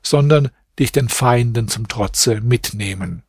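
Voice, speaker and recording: A man's voice: mid-range voice, slow pace, husky, no echo, clean studio sound. A man voicing a determined young husband, speaking in a steadfast, resolute way.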